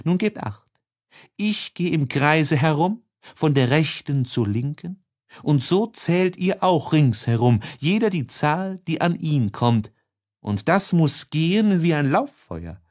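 A spirited, brave young woman read by a man in a literary audiobook. The delivery is slow-paced, with measured phrases. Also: a little high, big pitch swings, darkish tone, pitched above the performer's own voice. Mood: playful, commanding. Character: lively, brave young woman leading a parlour game. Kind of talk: audiobook character dialogue.

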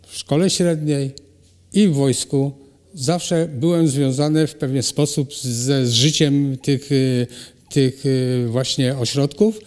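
A middle-aged man, a ceramic artist, answering interview questions in a halting way with many pauses and restarts. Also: fairly high pitch, darkish tone. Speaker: ceramic artist and cultural animator, guest. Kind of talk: hesitant conversational interview answers. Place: hall at a cultural centre. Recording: long reverb of a hall.

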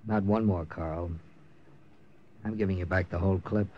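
A man's voice: low-pitched, fairly even intonation, normal speed, highs cut off, a little hiss or hum, close to an everyday voice. An actor playing a tough, terse wartime secret agent captain in a clipped, dramatic 1940s radio style, narrating his mission. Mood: urgent, firm. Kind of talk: 1940s radio acting, hard-boiled first-person narration. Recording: old radio recording with music.

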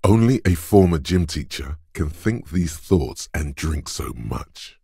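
An actor voicing a gruff, macho inner voice of physical strength for a video game, like a drill coach, blunt, taunting and commanding, with a gravelly, husky tone. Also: male voice, rumbling low, animated, takes it slowly, warm tone, no room sound, studio quality, exaggerated voice. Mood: gruff, steady.

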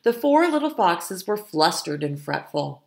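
A middle-aged woman reading a picture book aloud to children, expressive and pausing to explain. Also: fairly low pitch, vocal fry. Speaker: storytime reader, teacher.

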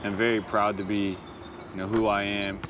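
Earnest young man, low voice over music. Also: little pitch movement, normal speed, background noise. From United States. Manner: earnest, reflective, plain-spoken. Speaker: basketball player. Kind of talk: documentary talk and speech.

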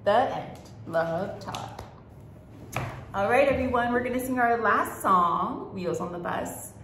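A woman's voice: a little high, animated, slow pace, fairly bright tone. A woman hosting a children's storytime as a librarian, reading aloud expressively and talking simply to young children. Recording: roomy echo with faint background noise.

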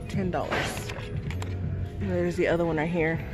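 A woman narrating as she films store shelves, reading out products and prices with soft laughs. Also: lowish voice, fairly even intonation, normal speed. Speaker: vlogger. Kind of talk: casual shop-with-me narration. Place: large store aisle. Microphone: phone held while filming. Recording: music overlay loud behind voice. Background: store noise and music.

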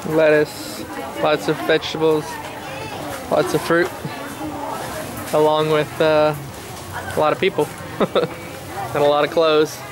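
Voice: mid-range voice, completely flat delivery, slow, long pauses. A travel vlogger giving casual walking commentary in short exclaimed phrases at an outdoor market. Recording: busy street market noise. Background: loud market crowd and vehicles.

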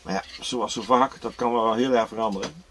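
A man's voice: mid-range voice, brisk, a bit bright, slight background noise. Easygoing older man vlogging from a camper. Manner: chatty, easygoing, many fillers and restarts. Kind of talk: casual travel vlog to camera. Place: inside a camper van, then outdoors by a river. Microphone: camera at arm's length.

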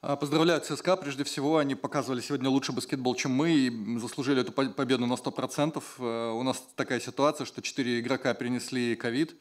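A man's voice: medium pitch, fairly even intonation, quick pace, hardly any pauses. A man moderating a post-game press conference and interpreting the coaches, hesitating often with filler sounds. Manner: hesitant, many filler sounds while translating. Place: press room at a basketball arena.

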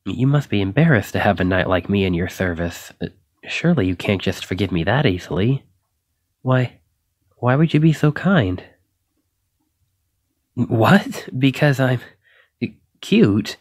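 A man's voice: on the low side, lively intonation, unhurried, pauses often, dry recording, studio quality. A man acting a shy, flustered, self-doubting young knight in an ASMR roleplay, stammering and hesitant as he apologizes. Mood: flustered, apologetic.

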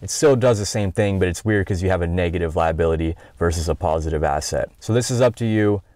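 An American man in his thirties with a low-pitched voice walks through a software tutorial. He explains step by step in a conversational, informal way. The sound is dry, with very little room in it. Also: quick pace, no room sound. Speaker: tutorial instructor.

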